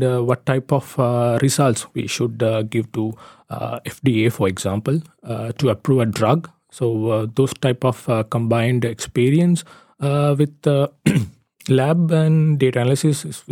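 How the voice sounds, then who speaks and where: A man's voice: medium pitch, dark tone, no echo, clean studio sound. A young man from Sri Lanka, a biotechnology master's student, introducing himself casually with many hesitant fillers. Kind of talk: casual self-introduction for a lab video.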